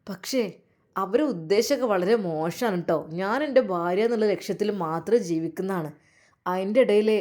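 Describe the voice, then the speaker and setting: A woman voicing a scheming young husband, talking low and hushed.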